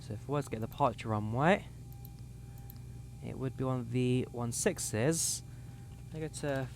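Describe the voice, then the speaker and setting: A man's voice: medium pitch, a little hiss or hum. Young man talking through a flight sim. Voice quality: slightly worn voice. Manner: talks through cockpit steps, reading out codes and numbers. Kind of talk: casual live commentary while setting up a flight.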